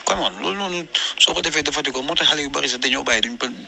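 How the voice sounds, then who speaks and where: A man's voice: on the high side, racing, gravelly, sharp tone, a little hiss or hum. A man with a Gambian accent in a panel discussion, speaking emphatically and repeating words for stress.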